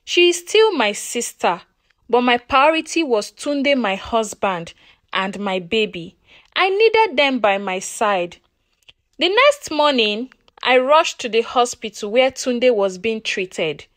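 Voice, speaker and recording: High-voiced Nigerian woman telling a dramatic story. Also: no echo, clean studio sound. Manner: expressive, deliberate, emotive. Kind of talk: dramatic first-person folktale narration.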